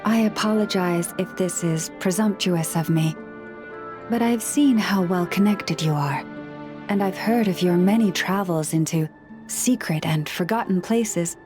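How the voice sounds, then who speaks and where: A woman voicing a gentle, courteous librarian and keeper of relics in a video game, speaking calmly with soft formality, over game music. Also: fairly low pitch, a little slow, close to an everyday voice. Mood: gracious. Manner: calm, polite, softly formal. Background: game background music.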